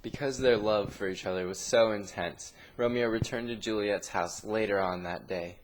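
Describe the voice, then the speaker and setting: A man reading a scripted story narration with dramatic pauses, in a noisy amateur recording. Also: lowish voice, fairly even intonation.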